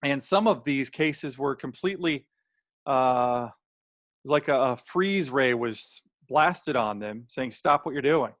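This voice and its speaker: A man's voice: mid-range voice, animated, takes it slowly, fairly bright tone, no echo. An American man presenting a webinar in plain, conversational language, voicing imagined quotes as he explains, with a slightly strained voice. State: slightly strained, throat-clearing voice. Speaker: webinar presenter on housing law.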